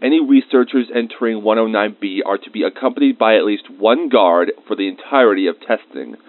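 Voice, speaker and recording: A man's voice: on the low side, fairly quick, no echo, close to an everyday voice. Muffled, dry-sounding man acting as a researcher dictating logs. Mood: formal, clinical. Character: bitter, easily irritated male researcher dictating lab log entries. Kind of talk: scripted narration of log entries in a fan audio drama. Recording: muffled, band-limited close dry recording.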